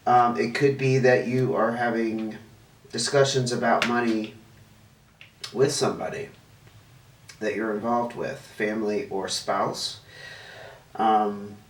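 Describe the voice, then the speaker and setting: A man's voice: fairly low pitch, fairly even intonation, slow, long pauses. A man hosting his own channel, giving a casual tarot reading to camera, chatty and thinking aloud while he lays out cards, a bit stuffy and sniffling. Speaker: tarot reader, channel host. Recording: roomy, distant mic, faint noise.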